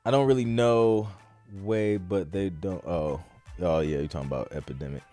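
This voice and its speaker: A man's voice: low voice, moderate pace, dark tone, soft background music. A man hosting a podcast, talking in an informal, slangy, conversational way as in a live Q&A. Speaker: podcast host. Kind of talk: casual live Q&A chat.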